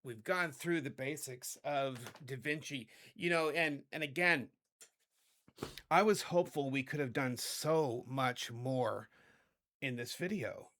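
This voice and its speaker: A middle-aged man with a hoarse voice hosting a casual video editing tutorial, chatty and rambling, often correcting himself. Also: mid-range voice, slow pace, fairly bright tone. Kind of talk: casual screen-recorded tutorial.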